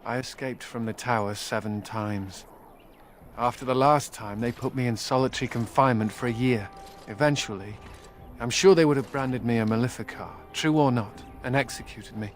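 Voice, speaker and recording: An actor playing a sardonic escaped mage in a video game, glib and self-amused with a bitter edge. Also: male voice, medium pitch, moderate pace, close to an everyday voice. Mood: wry, musing. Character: wry male mage and escaped prisoner, playful yet bitter. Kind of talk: video game character dialogue.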